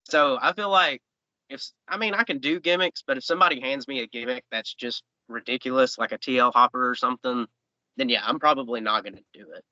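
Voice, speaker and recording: A man in his late twenties with a drawl talks in a loose, rambling way, full of fillers and restarts. His voice is very bright and forceful. The sound is dry and a little dull, like a podcast mic. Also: mid-range voice, a little fast, no room sound. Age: 20s. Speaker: professional wrestler guest. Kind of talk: casual interview chat.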